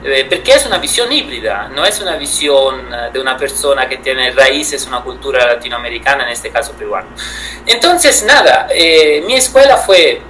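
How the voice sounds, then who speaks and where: A man's voice: mid-range voice, big pitch swings, normal speed, bright, forceful tone, a little hiss or hum, low-quality recording. A man in his thirties from Italy, speaking Spanish with an Italian accent, answering interview questions thoughtfully with frequent hesitations. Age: 30s. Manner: thoughtful, hesitant, many fillers and restarts. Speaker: guest photographer.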